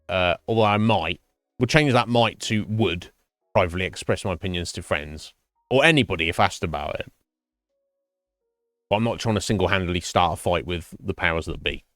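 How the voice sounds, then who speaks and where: A man streaming live and chatting with viewers, thinking his answers through in a halting, thoughtful way. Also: fairly low pitch, dry recording, clean studio sound. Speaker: Twitch streamer.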